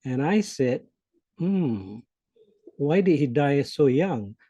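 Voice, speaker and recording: A man in his sixties from Malaysia, a Malay speaker, speaking reflectively as an interview guest, with hesitations and soft laughs. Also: medium pitch, big pitch swings, slow, no echo. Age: 60s. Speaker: CEO coach guest. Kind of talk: interview conversation.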